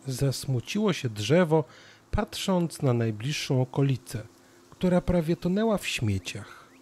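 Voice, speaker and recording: A man narrating a scripted children's story as an expressive storyteller. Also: on the high side, a little slow.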